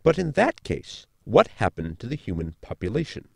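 A man playing a pedantic, eccentric science officer, formal and polite, with a creaky voice, in a sci-fi comedy audio drama. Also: medium pitch, wildly swooping pitch, moderate pace, a little mellow, no room sound, studio quality, heavily acted. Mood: precise.

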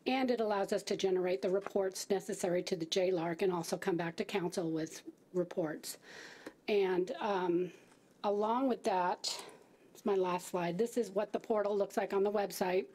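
A woman's voice: medium pitch, steady tone, moderate pace, darkish tone. A middle-aged woman at a podium giving a staff briefing to a city council, talking conversationally with fillers and restarts. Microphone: podium microphone.

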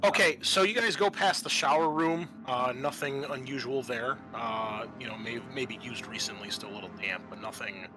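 A man narrating a tabletop roleplaying game as game master, in a halting, conversational way. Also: mid-range voice, music in the background, low-quality recording. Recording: faint background noise.

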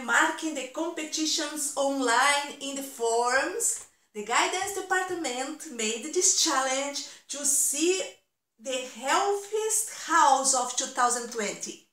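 High-voiced middle-aged woman, slow and simple delivery for children, school counselor style. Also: bright, forceful tone. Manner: deliberate, simple explaining to children. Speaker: elementary guidance counselor. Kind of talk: children's storybook read-aloud and talk to pupils. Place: indoors, small room at home. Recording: roomy echo, distant mic.

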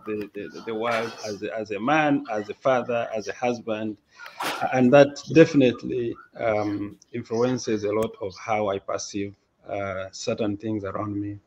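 A man from Uganda, a mental health advocate, speaking haltingly with many fillers over a headset on a video call. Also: on the low side, fairly even intonation, darkish tone, low-quality recording. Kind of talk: panel discussion.

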